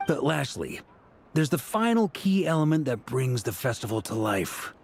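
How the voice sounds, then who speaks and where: An actor playing a hearty, easygoing warrior leader in a video game, with a jovial, breezy manner. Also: male voice, mid-range voice, sing-song, moderate pace. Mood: cheerful.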